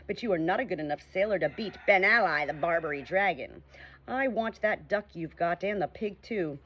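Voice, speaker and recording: A woman's voice: animated, moderate pace, muffled recording. A woman voicing a gloating, blustering male pirate captain in a storybook reading. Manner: loud, taunting, high-pitched bluster.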